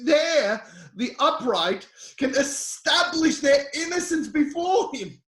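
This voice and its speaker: A man playing an anguished, devout sufferer, bitter yet defiant, in an impassioned, halting dramatic scripture reading. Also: very high-pitched, lively intonation, heavily acted. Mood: anguished pleading. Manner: impassioned, halting delivery swinging from cries to hushed lines. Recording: echoey room.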